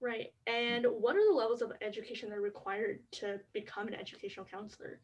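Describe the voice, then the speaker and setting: Brief: young woman host, higher-pitched, upbeat and chatty, sounding a bit off-mic. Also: fairly even intonation, fast, muffled recording. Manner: upbeat, friendly, uses "like" often. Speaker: podcast host. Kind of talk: casual interview hosting. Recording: distant from the mic.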